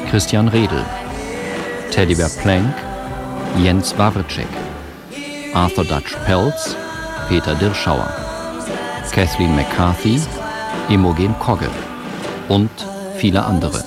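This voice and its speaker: Deep voiced guy announcing radio drama credits, really slow, big music behind him. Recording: loud music under the voice. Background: prominent instrumental music.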